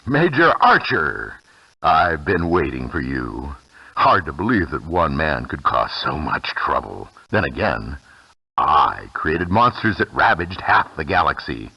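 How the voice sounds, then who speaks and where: An actor playing a menacing, vengeful military general in a video game, with a deep raspy voice and theatrical, gloating delivery. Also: male voice, big pitch swings, a little slow, bright, forceful tone, dry recording, exaggerated voice, low-quality recording. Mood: gloating menace. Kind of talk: video game villain voice acting.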